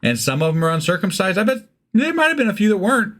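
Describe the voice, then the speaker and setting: A man's voice: high-pitched, very animated, fast talker, fairly bright tone. A man co-hosting a podcast in casual discussion, musing in a wry, irreverent way. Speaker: podcast co-host.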